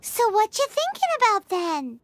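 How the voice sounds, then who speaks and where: A woman voicing a tiny, cheeky, childlike fairy companion in a video game, squeaky and nosy. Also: wildly swooping pitch, a little slow, a little hiss or hum, exaggerated voice. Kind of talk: scripted video game dialogue.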